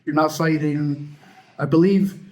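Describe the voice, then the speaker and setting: A man's voice: on the high side, fairly even intonation, a little slow, darkish tone, rough recording. A man hosting a podcast interview, chatty and upbeat, with many ums. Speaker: podcast host.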